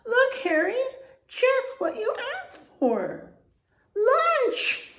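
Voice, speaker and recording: A woman voicing a friendly, excitable male sea turtle from a children's storybook in an expressive, exclamatory way. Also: very high voice, very slow, a little hiss or hum, pitched above the performer's own voice, heavily acted. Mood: excited. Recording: echoey room sound.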